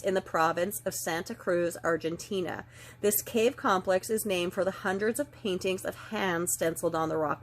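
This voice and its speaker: A middle-aged woman with a slightly stuffed-up, nasal voice, gently and earnestly giving an oracle card reading and reading passages aloud. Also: mid-range voice. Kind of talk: casual spiritual card reading.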